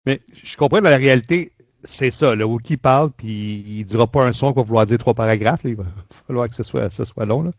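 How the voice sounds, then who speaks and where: A man's voice: mid-range voice, fairly quick, no echo, lo-fi sound. A man with a Quebec French accent hosting a casual review chat in an informal, colloquial way. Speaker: podcast host. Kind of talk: casual chat, book and audio drama review.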